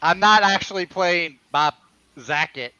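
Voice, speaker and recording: A man's voice: high voice, measured pace, bright, forceful tone, clean tone, no echo, muffled recording. A man in casual group banter, joking and boisterous, as a cast member of a play reading.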